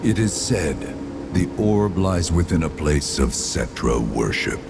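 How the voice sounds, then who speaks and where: Game spirit: ancient, mournful and solemn, extremely low register, slow grandiose delivery. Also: male voice, big pitch swings, noisy background, exaggerated voice. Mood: wary. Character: ancient, solemn, sorrowful spirit of a vengeful dead people. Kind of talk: formal archaic dramatic game acting.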